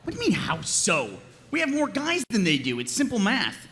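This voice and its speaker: Exasperated, high-pitched bossy soldier. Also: male voice, wildly swooping pitch, normal speed, sharp tone, slight background noise, heavily acted. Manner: argumentative, exasperated, emphatic. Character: exasperated, bossy male soldier leading a squad. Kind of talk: animated comedy table read.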